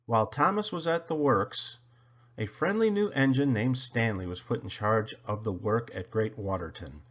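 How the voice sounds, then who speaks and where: Male storybook reader, very lively intonation, expressive delivery, read-aloud children's narration, slightly dull lo-fi recording. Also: on the low side, moderate pace.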